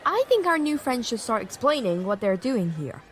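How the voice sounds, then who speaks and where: A woman's voice: a little high, big pitch swings, moderate pace. A woman playing a sensible, inquisitive youngster with a mildly skeptical manner in an audio drama.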